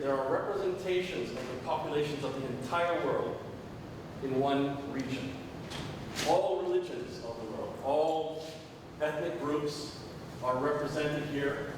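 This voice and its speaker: A Jamaican-born man raised in Canada, a professor giving a frank, measured talk at a conference. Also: mid-range voice. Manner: frank, measured, with frequent restarts. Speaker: professor, symposium speaker. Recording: echoey hall, distant mic, noisy.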